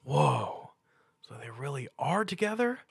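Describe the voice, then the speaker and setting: A man's voice: medium pitch, very animated, measured pace, gravelly, husky, no echo, studio quality, voice pushed up, exaggerated voice. A man voicing an awkward, geeky young male cartoon pony with a hesitant, stammering manner in a story reading. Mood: sheepish. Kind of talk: dramatic fan fiction reading.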